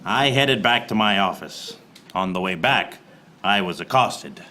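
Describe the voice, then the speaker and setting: An actor playing a wisecracking, hard-boiled private eye, narrating a noir parody radio play in a deadpan, wry way. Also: male voice, fairly low pitch, unhurried. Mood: wry, unruffled. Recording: noticeable background noise.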